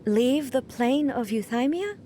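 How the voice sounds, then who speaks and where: A woman playing a composed, aloof immortal ruler in a video game, speaking in a formal, measured, dignified manner. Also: sits high, expressive, dark tone, slight background noise. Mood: calm, deliberate.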